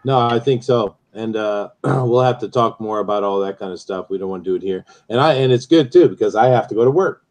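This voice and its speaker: A man's voice: on the low side, brisk, few pauses, darkish tone. A man who is a guest writer, chatting casually in an easygoing way and mostly giving brief replies. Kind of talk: casual chat on a live stream.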